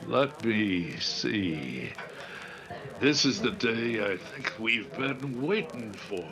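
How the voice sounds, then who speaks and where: A man playing a sly old outlaw boss in a radio drama, cold and scheming, speaking with deliberate menace. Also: on the low side, lively intonation, a crowd in the background, full character voice. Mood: calculating.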